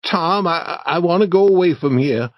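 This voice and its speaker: Man doing a nervous kid, bit higher voice, stammers, dry dull sound. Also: lively intonation, a little slow, no echo. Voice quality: slightly raised pitch. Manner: hesitant, stammers when scared. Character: timid boy, nervous sidekick. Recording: dry, slightly dull recording.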